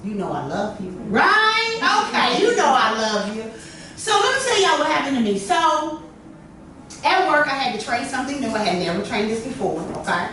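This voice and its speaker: A woman hosting a workshop, telling stories to a small group in a lively, chatty way, with a lot of "you know.". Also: sits high, normal speed, recorded from a distance. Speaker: workshop host. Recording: echoey room, from afar, noisy.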